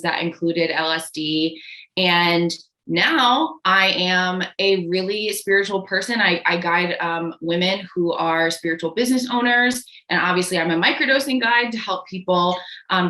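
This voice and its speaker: A woman's voice: fairly low pitch, pushed and bright, echoey room, low-quality recording. A woman in her late twenties, from Connecticut, chatting as an interview guest with lots of fillers and "like". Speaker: guest, microdosing guide. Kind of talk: casual interview chat.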